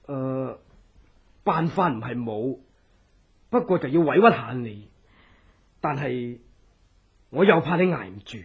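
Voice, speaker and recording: An actor with a Hong Kong Cantonese accent plays an earnest, loyal, scheming advisor to a fugitive in a theatrical horror radio drama. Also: male voice, medium pitch, animated, slightly rough, phone-quality sound, exaggerated voice. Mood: reassuring, earnest. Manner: deliberate, theatrical, persuasive. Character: loyal scheming male resistance ally, earnest, deliberate advisor.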